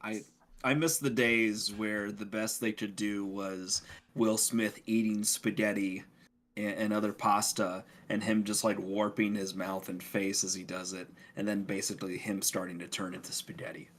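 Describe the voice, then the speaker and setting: A man's voice: lowish voice, flat delivery, moderate pace, fairly bright tone. A man co-hosting a podcast in casual, bantering conversation. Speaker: podcast co-host. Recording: noticeable background noise.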